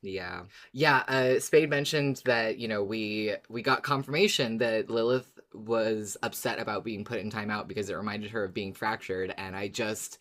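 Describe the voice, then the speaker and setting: A man's voice: lowish voice, moderate pace, few pauses, fairly bright tone. A man co-hosting a casual fan podcast, chatting in an enthusiastic, agreeable way. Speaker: podcast co-host.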